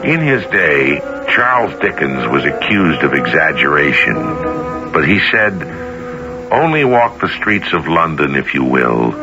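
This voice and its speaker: A man hosting a radio drama, reading scripted narration in a measured, theatrical way. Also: extremely low pitch, big pitch swings. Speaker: host and narrator. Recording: dull lo-fi radio recording. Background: music.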